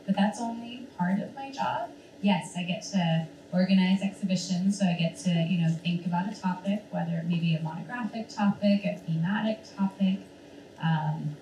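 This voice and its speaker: A woman's voice: fairly low pitch, slight background noise. Woman with a cold, lecturing in a roomy hall. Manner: relaxed, many ums. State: recovering from a cold, voice cracking. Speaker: museum curator giving a talk. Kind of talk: college career presentation. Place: college lecture room with audience. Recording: echoey room, distant mic.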